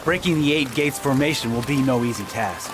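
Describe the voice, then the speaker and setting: An actor playing a polite, self-assured military strategist who talks with hesitant fillers and wry courtesy. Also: male voice, mid-range voice, a little fast, natural voice, poor audio. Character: eccentric polite male strategist, confident. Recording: game audio with loud music.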